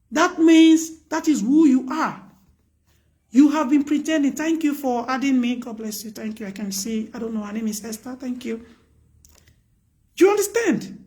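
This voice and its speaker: A woman speaks on a live stream in a high, loud voice. Her advice is earnest and emphatic, and she repeats herself to drive points home. Her tone is preachy and casual.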